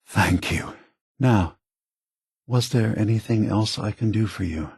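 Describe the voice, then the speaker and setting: Game android leader: husky, very unhurried, close and dry, weary, grave, measured statesman. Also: on the low side, a little mellow, no room sound, heavily acted. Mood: firm, reproachful. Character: weary, solemn android leader of a refuge, male. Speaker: video game character. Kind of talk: scripted game dialogue.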